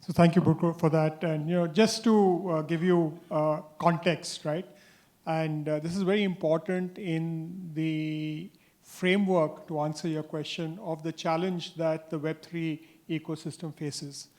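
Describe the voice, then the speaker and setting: Man, middle-aged, panelist, fairly high pitch, list of figures, tag questions. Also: steady tone, a little slow, darkish tone. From India. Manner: reels off figures with "uh" and tag "right?". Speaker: panelist, industry association chair. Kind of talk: panel discussion.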